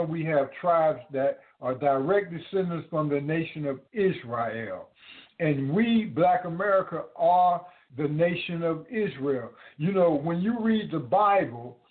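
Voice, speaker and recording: A man preaching to his listeners, halting often with repeated words and fillers. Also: fairly high pitch. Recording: tinny phone-like audio.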